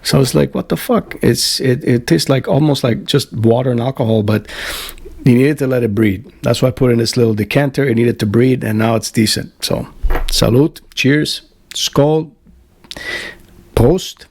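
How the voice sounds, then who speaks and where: A man's voice: medium pitch, moderate pace, creaky, darkish tone. A middle-aged man from Canada chatting casually to camera at home, rambling with lots of fillers and restarts and the odd swear. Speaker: band vocalist hosting a web show. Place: home, Florida.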